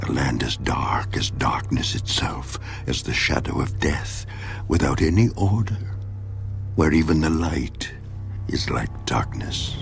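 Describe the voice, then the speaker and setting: An actor playing an anguished, despairing man who pleads bitterly with God, theatrical in a dramatised scripture reading, swinging from hushed tones to crying out. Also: rumbling low, animated, unhurried, creaky, in a whisper, over music, full character voice.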